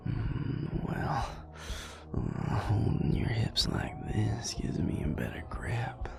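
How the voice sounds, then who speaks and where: A man playing an amorous, teasing vampire lover in an intimate romantic audio roleplay, murmuring slowly in a breathy, gravelly whisper with soft hums. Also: lowish voice, very animated, heavily acted. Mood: smitten, teasing. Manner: slow, murmuring, lingering over words with soft hums.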